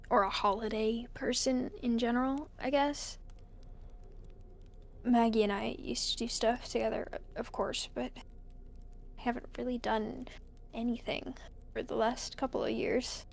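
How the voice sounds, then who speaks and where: A woman playing a weary, lonely college student recording a halting personal log in an audio drama. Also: a little high, creaky. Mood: subdued, unsettled. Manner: hesitant, halting, sighing. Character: weary, lonely college student stuck alone in a snowbound house.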